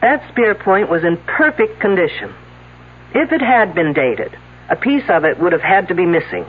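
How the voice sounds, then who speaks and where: Husky, sardonic lady police detective. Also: lowish voice, animated, normal speed. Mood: sardonic, probing. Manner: sarcastic, confrontational, in command. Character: shrewd, sardonic, wisecracking female police detective. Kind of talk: 1970s radio drama acting. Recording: tinny band-limited old radio broadcast.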